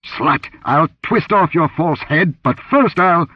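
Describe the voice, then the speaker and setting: A man's voice: on the high side, expressive, slow, husky, no room sound, highs cut off, pitched above the performer's own voice, full character voice. A man voicing a furious, hissing male priest who threatens his captive, reading an audiobook. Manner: hissing, menacing.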